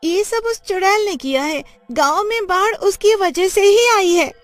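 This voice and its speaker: Woman acting a very shrill-pitched, distrustful village mother. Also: measured pace, faint music behind. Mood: anxious, accusing. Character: distrustful, protective village mother, sharp-tongued. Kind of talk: dramatic cartoon dialogue.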